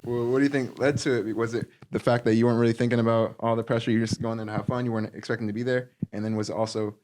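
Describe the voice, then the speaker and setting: A man hosts a podcast interview, firing off quick questions that ramble and restart. He talks at a fast clip and keeps up a steady stream of backchannel murmurs while his guest speaks. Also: mid-range voice. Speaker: podcast host.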